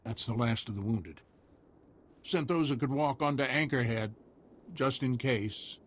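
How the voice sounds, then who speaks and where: A man voicing a humble, cautious frontier militia leader in a video game, husky and folksy. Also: mid-range voice, measured pace, lightly acted. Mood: relieved, grateful.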